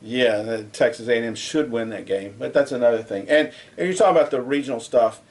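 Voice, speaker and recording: A man in the middle of the male pitch range, co-hosting a college sports podcast. He debates in a casual, rambling way. When something irks him, his voice climbs and gets louder. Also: fast talker. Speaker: co-host.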